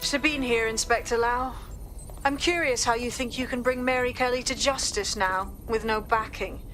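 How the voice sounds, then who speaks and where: A woman's voice: fairly high pitch, steady tone, normal speed, a bit bright, a little hiss or hum, close to an everyday voice. A woman playing a stern, skeptical leader of a hacker group in a game. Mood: challenging. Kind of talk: game cutscene dialogue.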